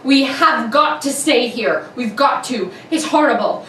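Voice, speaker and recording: A woman's voice: high-pitched, animated, a little slow, a bit bright. A woman playing a nervous, frightened wife and mother in a radio drama, anxious and pleading. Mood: panicked. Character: frightened, jumpy wife and mother hiding a secret. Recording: roomy, distant mic with heavy noise.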